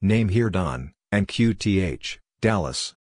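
A low, slightly rough-edged man narrates a practice drill of short scripted phrases. He speaks slowly and in measured, clipped pieces, one phrase at a time. The sound is dry and close, with no room around it. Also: animated, a little mellow, no echo.

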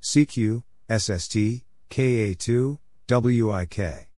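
Male narrator, low-pitched and very slow, for measured instructional readouts delivered item by item. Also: mellow, no echo, clean studio sound. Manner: measured, spelling out letters and numbers one at a time. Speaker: narrator of Morse code practice. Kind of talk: scripted readout of callsigns and exchanges.

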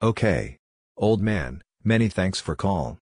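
Low-pitched man reading short phrases. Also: animated, takes it slowly, background noise. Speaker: Morse code practice narrator. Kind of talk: scripted phrase-by-phrase read-out.

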